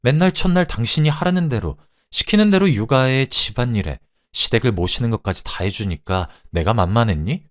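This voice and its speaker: A man acting a betrayed middle-aged wife who makes bitter, sharp accusations in a dramatized story. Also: on the low side, lively intonation, brisk, natural voice. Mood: bitter anger.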